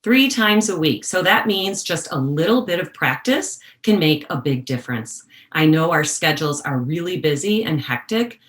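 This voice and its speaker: Woman workshop presenter, low register, deliberate explanatory delivery of prepared educational material. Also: echoey room.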